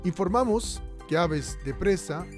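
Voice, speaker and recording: A radio drama announcer of the future, played by a man, speaking in a fairly high voice with formal, announcer-style phrasing broken by playful asides. Faint music plays behind him. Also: animated, a little slow.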